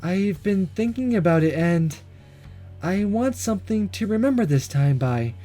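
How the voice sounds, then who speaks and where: Brief: man reading as a direct, affectionate young woman, gentle lifted voice, lively lilt. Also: high voice, unhurried, soft background music. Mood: tender. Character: young woman, straightforward affectionate schoolgirl sweetheart.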